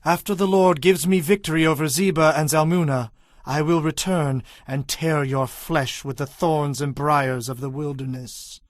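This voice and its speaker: An actor playing a resolute, stern Israelite war leader in a dramatized audio Bible, with emphatic, declamatory delivery. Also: male voice, fairly high pitch, steady tone, moderate pace, no room sound, studio quality, close to an everyday voice. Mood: stern, threatening.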